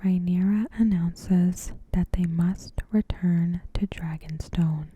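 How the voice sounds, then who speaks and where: Whispering woman, very slow ASMR narration. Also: lowish voice, slight background noise. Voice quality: soft, whispery, breathy. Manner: gentle, hushed, deliberate. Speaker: ASMR host. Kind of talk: ASMR scripted episode recap narration.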